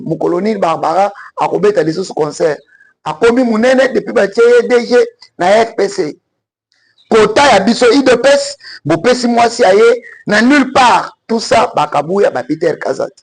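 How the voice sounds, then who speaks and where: Gravelly high Congolese male commentator. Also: low-quality recording. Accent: Congolese French. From Congo (DRC). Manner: emphatic, switches between French and Lingala. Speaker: political commentator. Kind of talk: political commentary.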